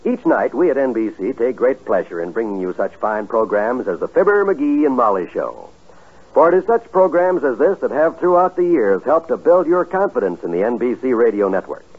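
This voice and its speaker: Old timey radio announcer guy, formal n stately, bit husky, really tinny 1950s recording. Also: mid-range voice, sing-song, brisk.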